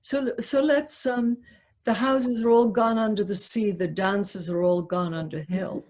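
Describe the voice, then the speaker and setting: A woman's voice: mid-range voice, fairly even intonation, a little slow, darkish tone. A woman leading an unscripted literary discussion, hesitant and searching for her words. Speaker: discussion leader.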